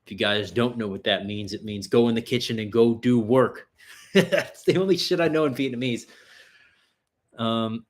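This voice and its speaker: A man's voice: mid-range voice, moderate pace. A man from the US tri-state area, hosting a casual livestream Q&A in a loose, profane, rambling way with lots of fillers and restarts. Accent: New York area. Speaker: livestream host answering viewer questions.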